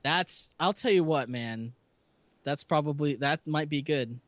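A man's voice: a little high, measured pace, dry recording. A man co-hosting a casual podcast chat, rambling and self-deprecating, laughing often. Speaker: podcast co-host.

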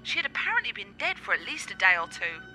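A woman playing a witty, theatrical sweetheart with a dark streak in a radio play, with an arch, dramatic delivery over music. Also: medium pitch, big pitch swings, fast, poor audio. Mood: alarmed.

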